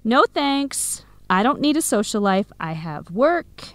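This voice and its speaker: A woman playing a supportive, hardworking young American friend in a scripted radio drama, conversational and clearly enunciated, with an American accent. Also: on the high side, sing-song, slow, no room sound. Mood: earnest, curious. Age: young adult.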